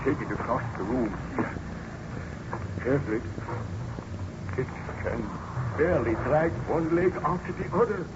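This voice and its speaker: An actor playing an obsessed, proud scientist in an old radio horror play, grandiose, melodramatic and commanding. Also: male voice, mid-range voice, animated, unhurried, pauses often, lightly acted. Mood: urgent, commanding. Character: obsessed male scientist, proud creator of a monster. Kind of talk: old-time radio horror drama acting. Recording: dull, noisy vintage radio recording.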